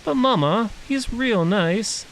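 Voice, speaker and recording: Storybook child role: a man plays an innocent, curious little boy, pitched well up, plaintive, recorded with clear hiss. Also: expressive, takes it slowly, a bit bright, full character voice, low-quality recording. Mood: pleading. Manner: plaintive, simple childlike phrasing.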